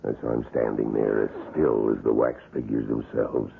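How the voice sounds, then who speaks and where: Gravelly, cavern-deep wax museum attendant. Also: male voice, little pitch movement, moderate pace, full character voice. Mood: haunted, suspenseful. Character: gravelly male wax museum attendant, grimly recounting a murder, polite. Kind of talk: 1970s radio drama acting, suspenseful storytelling. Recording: lo-fi 1970s radio broadcast.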